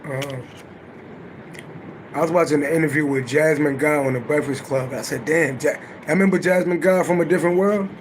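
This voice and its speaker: A middle-aged man with a naturally raspy voice, chatting loosely and profanely on a livestream and answering viewers' comments. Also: a little fast, slight background noise, poor audio. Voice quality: deep voice. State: voice a little worn from singing. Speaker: livestream host and astrology reader. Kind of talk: casual livestream chat.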